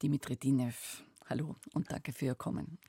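A man's voice: medium pitch, fairly even intonation, normal speed, gravelly, mellow, breathy edge, no echo. A man hosting a literary radio interview in a studio, putting prepared questions in a formal, articulate way.

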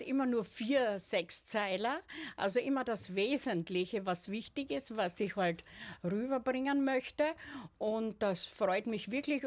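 Woman, 60s, Austrian accent, slightly high voice, eager, hesitant fillers. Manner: quick, eager, with many hesitations. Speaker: amateur stage performer. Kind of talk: casual interview talk.

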